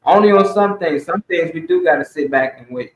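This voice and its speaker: Echoey, high-voiced man talking emphatically. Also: brisk. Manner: emphatic, exhorting, colloquial, swearing. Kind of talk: casual opinionated community talk. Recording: dull, echoey room sound.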